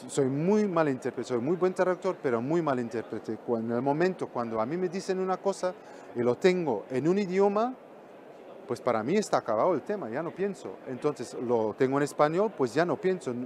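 Middle-aged man giving a lively talk. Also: a little high, normal speed, a little hiss or hum. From Belgium. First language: Flemish. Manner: animated, gestures constantly with both hands, repeats points for emphasis. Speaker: conference speaker. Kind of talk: conference talk. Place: exhibition stand in a hall.

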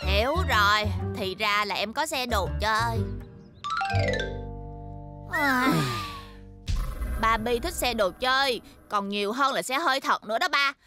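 A woman voicing a bossy, scheming, expressive little schoolgirl in a cartoon dub. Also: fairly high pitch, a little slow, pauses often, lightly acted. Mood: grumbling. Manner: expressive, pleading and indignant. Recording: music behind the voice.

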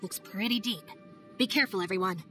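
A woman voicing a calm, deadpan and teasing young female ninja deputy in a game cutscene. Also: mid-range voice, brisk, over loud music, lightly acted. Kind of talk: video game cutscene voice acting.

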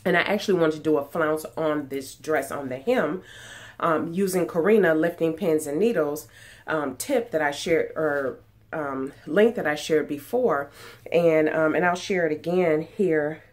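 A low-pitched woman speaks in a nasal, stuffed-up voice because of a cold. She rambles in a chatty way, full of ums and false starts, as she talks to a webcam in a small room at home. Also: a little slow. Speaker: sewing vlogger. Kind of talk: casual chat. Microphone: webcam at desk distance.

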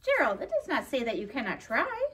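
A woman's voice: high-pitched, wildly swooping pitch, fairly quick, a bit bright, full character voice. A woman voicing a cheerful, bossy young pig for a children's storytime, giving emphatic instructions, recorded from across a room. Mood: eager, bossy. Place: indoor library meeting room. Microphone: camera several feet away. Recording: distant camera, faint room noise.

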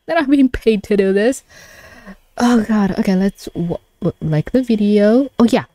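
A young adult woman doing casual live reaction commentary as a streamer, expressive and given to exclamations and swearing. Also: mid-range voice, unhurried, dry recording.

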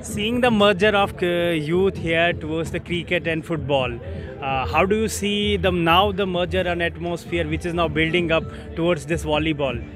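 A man asks polite, formal questions in quite a high voice, filling the pauses with many fillers. He speaks outdoors, with clear music playing behind him. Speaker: reporter, interviewer. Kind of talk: news interview questions. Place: outdoor stadium grounds. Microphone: handheld mic held toward guest.

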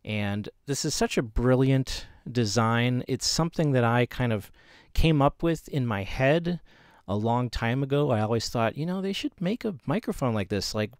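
A man in his forties talks through a gear review in a loose, rambling way, cracking self-deprecating asides. His voice sits at an ordinary middle pitch. It is picked up by a camera-mounted shotgun mic from across an indoor room, with a faint fridge hum. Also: animated, normal speed, clear, resonant tone, no room sound, studio quality. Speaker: host reviewing a microphone. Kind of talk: casual product review vlog. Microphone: camera-mounted shotgun mic about eight feet away.

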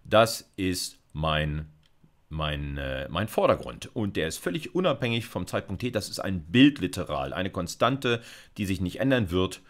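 A man's voice: low voice, big pitch swings, measured pace. A man, a university lecturer thinking aloud informally as he explains live coding. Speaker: university computer science lecturer. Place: indoors, seated at a computer.

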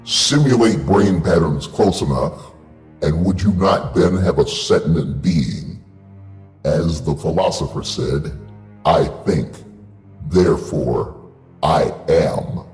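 An actor playing a giant, menacing robot overlord in an audio drama, speaking coldly and slowly. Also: male voice, rumbling low, a little mellow, roomy sound, soft background music. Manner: cold, slow and menacing.

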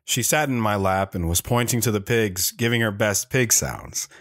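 A man's voice: lowish voice, normal speed, fairly bright tone. A man playing a reflective, stoic young soldier and father in an audio drama, with an understated delivery. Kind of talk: audio drama first-person narration and dialogue. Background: guitar music.

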